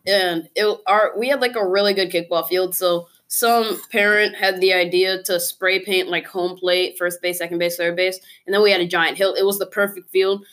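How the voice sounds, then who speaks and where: A woman's voice: lowish voice, steady tone, normal speed, few pauses, fairly bright tone. A young woman co-hosting a podcast, telling a casual story in a rambling, repetitive way. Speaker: podcast co-host.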